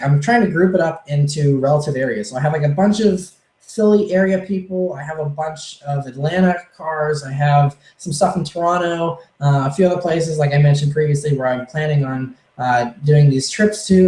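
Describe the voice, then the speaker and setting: A man answering viewer questions on a casual livestream, rambling and relaxed with many fillers, his voice a little hoarse. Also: fairly high pitch, roomy sound, rough recording. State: slightly hoarse, losing his voice.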